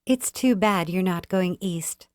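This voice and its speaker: A reader plays a young society woman from a classic short story. She is poised and warm, speaking with genteel deliberation in a dry, close recording. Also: fairly low pitch, slow, dry recording. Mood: polite.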